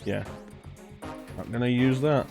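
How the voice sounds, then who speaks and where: A man chats his way through live gameplay in a rambling, conversational manner. His voice sits at an average pitch. Faint game music plays behind him. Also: unhurried, gravelly. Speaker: streamer and game player. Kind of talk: casual live gameplay commentary.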